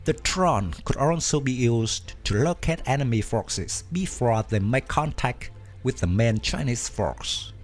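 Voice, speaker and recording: A man reading a scripted documentary narration at an even, measured pace. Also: mid-range voice. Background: faint background music.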